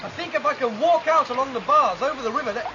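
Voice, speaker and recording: An actor playing a bold, curious citizen in a 1970s dystopian radio drama, with a British accent and an eager manner. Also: male voice, extremely high pitch, fast. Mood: eager, coaxing. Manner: eager, coaxing, adventurous. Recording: dull, noisy old radio recording.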